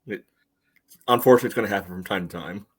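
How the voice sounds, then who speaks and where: Fast-talking man with a lowish voice. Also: husky. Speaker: podcast panelist. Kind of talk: casual match commentary.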